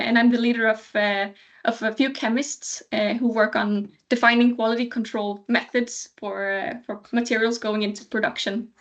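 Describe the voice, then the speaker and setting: A woman's voice: on the high side, a bit bright, highs cut off. A woman from Sweden in her thirties giving a cheerful, casual update on a video call, laughing lightly. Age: 30s. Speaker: alumni speaker.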